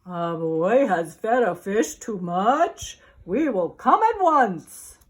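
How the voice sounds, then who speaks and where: A woman voicing a bossy adult fireman who yells out commands. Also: mid-range voice, very animated, slow, pitched below the performer's own voice. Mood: commanding. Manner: yelling commands.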